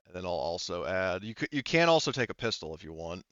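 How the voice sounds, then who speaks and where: A man running a tabletop game as game master, talking casually and informally in a halting way. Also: low-pitched, very animated, fairly bright tone, no room sound, dull audio.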